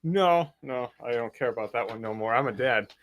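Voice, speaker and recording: A young man co-hosting a casual chat, loud and teasing. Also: mid-range voice, animated, brisk. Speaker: magic shop owner and co-host. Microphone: studio mic.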